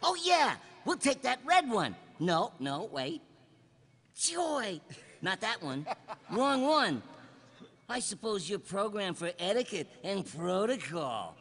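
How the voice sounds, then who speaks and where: A man's voice: sits high, sing-song, takes it slowly, full character voice. A man voicing a fussy, bossy, giggly cartoon uncle in a live script read. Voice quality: bright, forceful. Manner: exaggerated, breaking into giggles.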